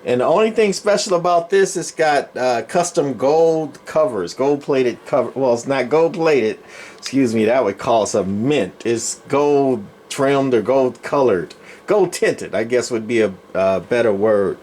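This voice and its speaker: A man's voice: mid-range voice, lively intonation, measured pace, a little mellow. Male hobbyist presenter, mid-range voice, plainspoken and rambling, off-the-cuff show-and-tell with background noise. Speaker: radio collector presenting his collection. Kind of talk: casual unscripted show-and-tell. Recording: clear background noise, room ambience.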